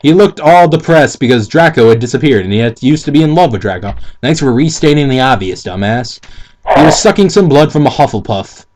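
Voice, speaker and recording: A man's voice: medium pitch, lively intonation, fairly quick, a little mellow, slight background noise. A man doing a casual dramatic reading as co-host, mixing it with crude, sarcastic, exasperated commentary. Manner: sarcastic, foul-mouthed, exasperated. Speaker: co-host reading and mocking a fanfiction.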